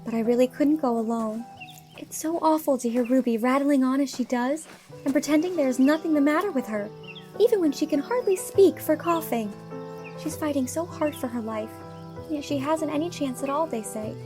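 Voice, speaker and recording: A woman's voice: high-pitched, moderate pace, over music, natural voice. A woman playing an earnest, tender-hearted young country woman in a dramatized audiobook. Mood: troubled. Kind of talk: dramatized audiobook character acting.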